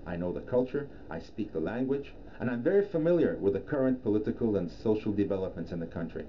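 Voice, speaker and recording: A middle-aged man from Iran, a native Persian speaker, giving a formal, measured scripted presentation as a professional lecturer. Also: on the low side, expressive. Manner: formal, measured, deliberate phrasing. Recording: dull lo-fi sound, clear background noise.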